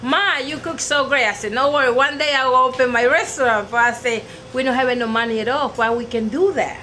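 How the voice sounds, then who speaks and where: A woman's voice: high-pitched, brisk, pushed and bright. A middle-aged woman with an Ecuadorian Spanish accent, telling her own story in an interview. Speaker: restaurant owner interviewee. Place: indoor restaurant dining room. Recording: clearly noisy room ambience.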